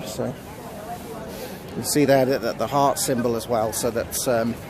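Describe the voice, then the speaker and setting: A man gives an unscripted on-location news report, speaking haltingly and repeating words as he goes. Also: medium pitch, steady tone. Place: outdoors in a busy city square. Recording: outdoor city crowd and traffic noise. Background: crowd and traffic.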